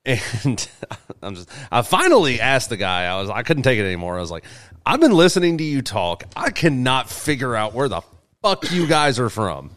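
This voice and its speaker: A man's voice: mid-range voice, sing-song, moderate pace, fairly bright tone, no room sound. A man in casual conversation, speaking bluntly and swearing freely. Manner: profane, blunt. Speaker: podcast co-host. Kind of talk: casual chat.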